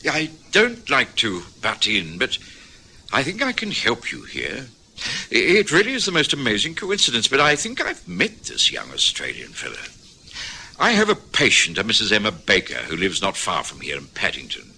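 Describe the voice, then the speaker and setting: Radio drama doctor-narrator: genial and courteous gentleman, forceful bright tone, widely varied intonation. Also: fairly low pitch, wildly swooping pitch, moderate pace, creaky, a little hiss or hum, exaggerated voice. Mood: courteous, helpful.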